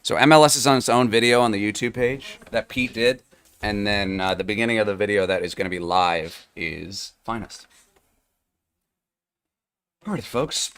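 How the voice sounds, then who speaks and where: A young adult man with a hoarse, fading voice, hosting a live card-opening stream in a chatty, upbeat way with lots of fillers. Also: lowish voice, normal speed, a bit bright. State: hoarse, losing his voice. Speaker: live card-break host. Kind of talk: livestream sales chat.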